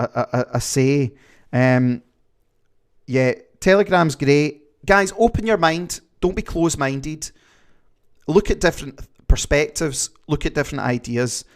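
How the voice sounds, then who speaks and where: A man with a Glaswegian accent hosting a livestream, chatting casually and reading viewer comments, rambling with many fillers. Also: on the high side. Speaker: livestream host.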